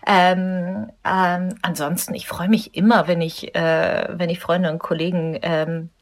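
A woman's voice: fairly low pitch, fairly even intonation, measured pace. A woman from Munich in her thirties, a pianist giving thoughtful, hesitant answers in a radio interview. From Germany, Munich. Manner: thoughtful, hesitant with many fillers.

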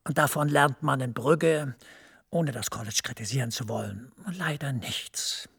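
An actor playing a smooth, persuasive senior EU official who speaks in a measured way. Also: male voice, medium pitch, fairly bright tone, heavily acted. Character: smooth senior EU director, middle-aged man, persuasive.

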